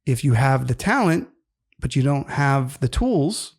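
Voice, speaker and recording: A man's voice: medium pitch, big pitch swings, unhurried, breathy edge. A man in his fifties co-hosting a casual podcast, rambling enthusiastically about music. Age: 50s. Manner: rambling, enthusiastic music talk with many fillers. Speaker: co-host.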